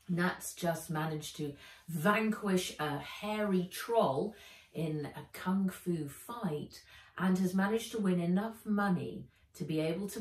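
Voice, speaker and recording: A woman author reading her children's adventure book aloud with dramatic, deliberate storybook narration. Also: lowish voice, a little mellow. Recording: roomy echo, a little far from mic.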